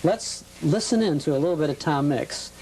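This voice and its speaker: A man's voice: on the high side, expressive, normal speed, a little mellow, slight background noise, rough recording. A man hosting a TV interview, asking curious, prompting questions and hesitating often along the way. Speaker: TV interview host. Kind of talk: conversational TV interview.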